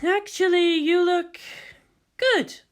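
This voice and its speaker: A narrator voicing a brisk, confident young woman artist with a breezy, teasing, matter-of-fact manner. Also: very high-pitched, steady tone, pitched above the performer's own voice. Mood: approving.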